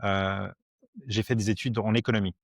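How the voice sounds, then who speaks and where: A man in his forties from Switzerland, a podcast guest explaining things simply in conversation, haltingly and with repeated words. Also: fairly low pitch, level tone, gravelly, no echo, clean studio sound. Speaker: podcast guest, crypto educator.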